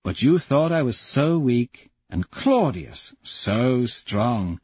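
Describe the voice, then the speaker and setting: A man's voice: mid-range voice, very animated, slow, darkish tone, slightly rough, no echo, lo-fi sound, heavily acted. A man voicing a cruel, sneering young nobleman who speaks with cold mockery. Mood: cold gloating. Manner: mocking, cold, scornful.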